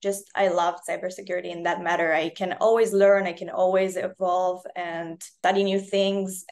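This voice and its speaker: Woman, English, earnest, interview guest. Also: medium pitch. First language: Russian. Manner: earnest, slightly non-native phrasing. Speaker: cybersecurity analyst, guest. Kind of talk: casual interview talk.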